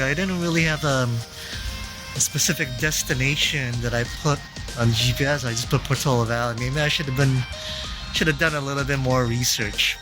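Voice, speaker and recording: A man in his thirties hosting a casual vlog, chatty and quick to laugh. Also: mid-range voice. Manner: laughing, animated storyteller. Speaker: vlog host. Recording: music underneath.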